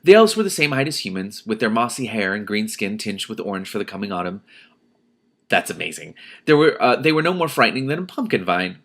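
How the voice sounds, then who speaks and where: A man reading a novel aloud as host, expressive and emotionally invested, breaking in with spontaneous, effusive reactions. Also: fairly low pitch, a little fast.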